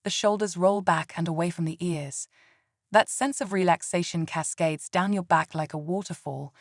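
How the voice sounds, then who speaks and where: A woman leading a scripted guided meditation in a calm, soothing and gentle way. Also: on the low side, normal speed, no echo, clean studio sound.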